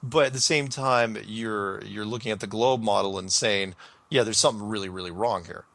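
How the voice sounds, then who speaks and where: A man's voice: on the low side, normal speed, vocal fry, a bit bright, no echo. A man hosting a casual radio interview, talking in a joking, affirming way. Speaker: radio show host.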